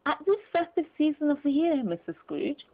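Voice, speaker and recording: A woman voicing a courteous, formal, earnest gentleman collecting for charity in a storybook reading. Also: high voice, very animated, moderate pace, pitched above the performer's own voice. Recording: muffled phone-like narrow sound.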